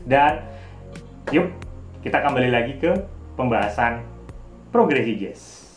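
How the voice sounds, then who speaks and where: A man's voice: mid-range voice, expressive, unhurried. A man giving a casual, informal piano tutorial as a teacher who demonstrates as he talks. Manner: informal, demonstrative, often counting out notes. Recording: roomy, distant mic, loud piano music.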